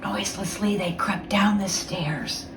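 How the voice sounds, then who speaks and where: A woman reading a children's storybook aloud as a guest reader, with expressive, dramatic storytelling. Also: mid-range voice, a little slow, vocal fry. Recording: roomy, distant mic, faint noise.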